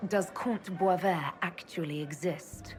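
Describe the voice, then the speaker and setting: A woman's voice: lowish voice, slow, a faint crowd. An actress playing a level-headed, direct female leader in a video game cutscene. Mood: dry, probing. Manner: direct, questioning.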